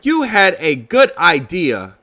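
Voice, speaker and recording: A man's voice: high voice, wildly swooping pitch, slow pace, pushed and bright, full character voice. A man voicing a kind, patient young boy in a children's storybook. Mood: kind, reassuring. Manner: kindly, reassuring.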